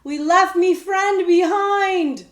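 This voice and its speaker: A woman voicing a blunt, street-wise poor boy with rough old-fashioned speech in a children's story. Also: very high voice, slow pace, echoey room, pitched above the performer's own voice. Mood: calling out. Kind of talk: children's book read-aloud.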